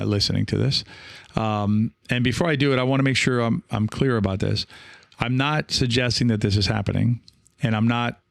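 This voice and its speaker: A man hosting a casual solo podcast, talking in a rambling, reassuring way with frequent pauses and check-ins. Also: mid-range voice, gravelly, dry recording, studio quality. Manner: hesitant, rambling, reassuring, often checking in with "right?". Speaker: podcast host and experienced pickleball player. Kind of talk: casual solo podcast talk, reading and answering listener comments.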